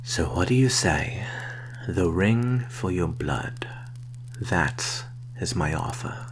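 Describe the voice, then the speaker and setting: A man playing a sly, menacing vampire in an ASMR roleplay, speaking in a soft, breathy half-whisper with slow, formal phrasing. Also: low voice, animated, full character voice. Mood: quietly threatening. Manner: slow, deliberate, formal wording. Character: predatory male vampire, sly, coaxing and quietly menacing. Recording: clear background hum and noise.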